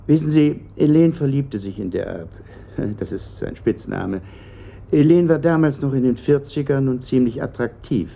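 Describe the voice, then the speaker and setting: Middle-aged executive, bitter, evasive, hesitant, creaky mellow voice, radio play. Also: male voice, medium pitch, normal speed. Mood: guarded. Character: bitter, uneasy middle-aged company director under questioning. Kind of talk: German radio play acting.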